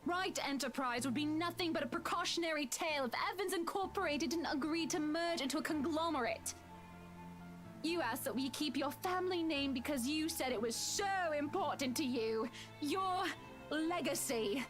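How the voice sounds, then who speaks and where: An actress playing a proud, wounded young wife in a visual novel, haughty and indignant. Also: female voice, sits high, normal speed, a bit bright. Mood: bitter, wounded. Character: proud, wounded, wealthy young wife standing her ground. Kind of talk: dramatic visual novel voice acting. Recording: game music behind the voice. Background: game music.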